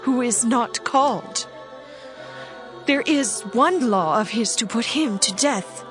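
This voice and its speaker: A woman's voice: fairly high pitch, animated, takes it slowly, music in the background. An actress playing an earnest young queen explaining a grave danger. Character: Queen Esther, young adult woman, earnest.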